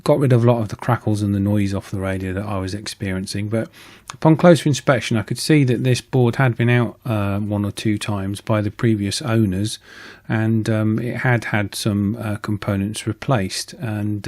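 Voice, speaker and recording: A man with a fairly low voice talks through repair work as the host of a hobby channel. His delivery is informal and matter-of-fact. He explains things casually, with some hesitation as he goes. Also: darkish tone.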